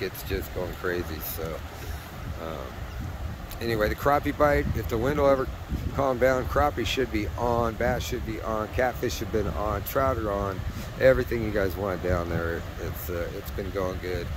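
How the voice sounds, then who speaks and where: A man hosting a casual fishing report outdoors by a river, talking in an easygoing, rambling way with plenty of fillers. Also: lowish voice. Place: outdoors by a river on a windy day. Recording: windy outdoor camera audio with engine noise. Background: wind, rushing water and a running truck.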